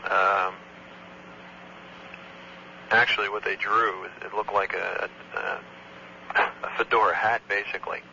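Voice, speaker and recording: Male air traffic controller, very forceful bright tone, halting factual delivery, lo-fi phone recording with faint hum. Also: on the low side, little pitch movement, vocal fry. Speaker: air traffic controller, tower area supervisor. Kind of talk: phone report of an incident, conversational eyewitness account.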